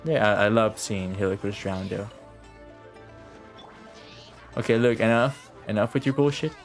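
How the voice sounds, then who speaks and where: A man's voice: on the low side, steady tone, moderate pace. Sweary man streaming a game over music. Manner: sweary, offhand muttering to himself while playing. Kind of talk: casual live gameplay commentary.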